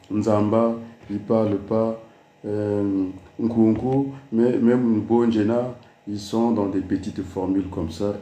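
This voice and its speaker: Deep-voiced Congolese man with dark tone. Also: reverberant. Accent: Congolese French. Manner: emphatic, with many fillers, mixes French and Lingala. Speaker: politician. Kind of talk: political address.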